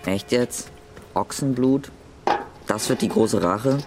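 A woman's voice: very deep voice, takes it slowly, noisy background, exaggerated voice. An actress in a German radio drama playing a tough, hard-boiled young woman. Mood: scornful. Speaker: actor in a radio play. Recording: loud music under the voice.

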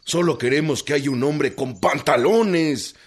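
An actor playing a solemn, loyal villager in a radio drama. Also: male voice, on the high side, a little slow, slightly rough. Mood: solemn, supportive. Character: husky loyal villager, solemn, rural Mexican man.

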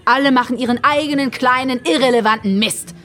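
An actress playing a haughty, jaded bohemian muse in a radio play, disdainful and sardonic, over music. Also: female voice, sits high, fairly quick, fairly bright tone. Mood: mocking. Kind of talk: naturalistic radio play acting.

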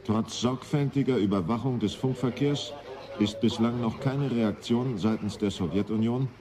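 A man's voice: mid-range voice, moderate pace, a crowd in the background, close to an everyday voice. A man playing a grave military spokesman reading a formal bulletin in a German radio drama. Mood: grave, official.

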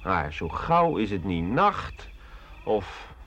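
An actor in a radio play playing a coarse, slangy, bitter and scornful trader-thief at a colonial outpost. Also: male voice, deep voice, very animated, slow pace, a bit bright, a little hiss or hum, full character voice. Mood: agitated. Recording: dull 1980 radio recording.

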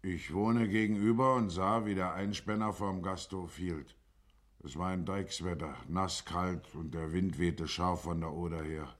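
An actor playing a plain-spoken small-town neighbour in a German radio drama, measured and deferential in manner. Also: male voice, low voice, steady tone.

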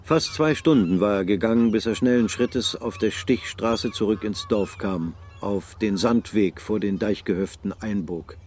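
A man narrating a literary radio drama in a sober, measured manner. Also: lowish voice, a little mellow, slight background noise.

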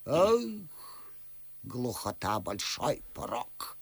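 A woman's voice: rumbling low, very animated, husky, no room sound, full character voice. A woman playing a hard-of-hearing, muddled old countess in a radio verse comedy, fussily exclaiming and questioning. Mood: alarmed, fussy. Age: older. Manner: mishearing, exclaiming, drawn-out questions. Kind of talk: classic verse comedy radio play acting.

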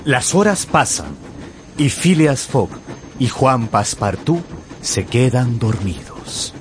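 A man narrating a radio drama in Spanish with deliberate, suspenseful storytelling. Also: mid-range voice, sing-song, noisy background. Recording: music behind the voice.

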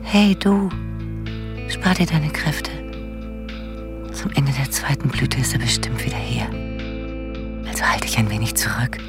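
A woman's voice: rumbling low, big pitch swings, a little slow, long pauses, in a whisper, exaggerated voice. A woman playing a lonely, brooding abandoned wife who murmurs to herself in an intimate radio drama monologue. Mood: tender, secretive. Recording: music behind the voice.

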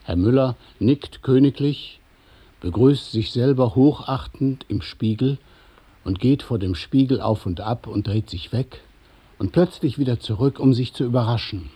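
A man narrating a radio play from a script, describing each movement in a measured, precise and detached way. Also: mid-range voice, dark tone, breathy edge.

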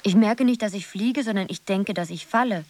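An actress playing a dreamy, wistful young woman who muses haltingly in a poetic radio drama. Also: mid-range voice, darkish tone, natural voice. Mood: dreamy, musing. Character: Zoe, dreamy young woman drifting through a surreal world.

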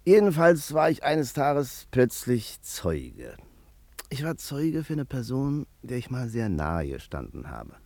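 Nervous talky barber, old radio drama, dry close sound, very animated delivery. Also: male voice, medium pitch, no echo. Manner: chatty, colloquial, rambling. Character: nervous, talkative Berlin barber, afraid of the courts. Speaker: narrator and character in a crime radio play. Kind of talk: 1950s German radio play acting, first-person narration.

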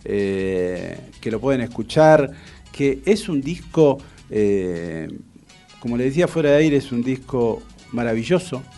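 A man's voice: medium pitch, sing-song, unhurried, dark tone, soft background music. A man with an Argentine accent hosting a casual radio interview, rambling conversationally as he searches for his words. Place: radio studio.

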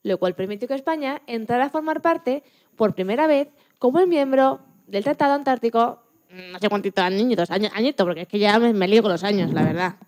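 Woman playing a high-voiced, chatty Spanish scientist. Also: brisk, lightly acted. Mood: proud, chatty. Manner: expressive, chatty, self-correcting. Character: chatty, proud, enthusiastic woman Antarctic scientist. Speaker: interview guest.

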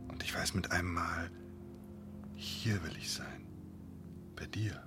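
An actor playing a remorseful, tender husband in an intimate modern radio play, in a breathy half-whisper. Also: male voice, low-pitched, slow pace. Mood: relieved, tender. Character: remorseful, tender husband confessing to his wife. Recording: loud music behind the voice.

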